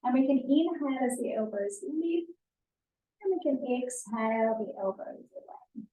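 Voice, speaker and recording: A woman yoga instructor leading a guided stretching and breathing class in a gentle, soothing, unhurried manner, recorded live in a studio. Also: sits high, animated, narrow, tinny audio. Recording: echoey room, distant mic.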